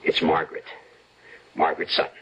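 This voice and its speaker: A man's voice: fairly quick, sharp tone, natural voice. An actor playing a coaxing, protesting husband who may be scheming against his wife, in an old radio suspense drama. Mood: earnest, pleading. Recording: dull, muffled vintage radio recording.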